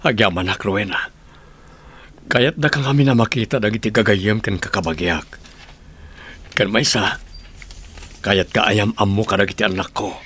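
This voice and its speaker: A man's voice: fairly low pitch, normal speed, pauses often, fairly bright tone, breathy edge. A man playing a devoted father and reassuring suitor in a radio drama.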